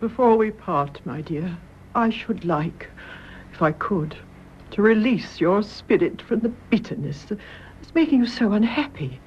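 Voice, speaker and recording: An actress playing a grave, loving elderly British mother in a radio drama, speaking in a measured, gentle, solemn way. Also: medium pitch, expressive, close to an everyday voice. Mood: tender, solemn. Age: older. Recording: muffled radio recording, clear background noise.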